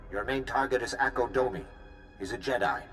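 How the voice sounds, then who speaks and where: A man voicing an earnest, hard-pressed field soldier in a video game, brisk and plain-spoken. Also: mid-range voice, fairly bright tone, soft background music, close to an everyday voice.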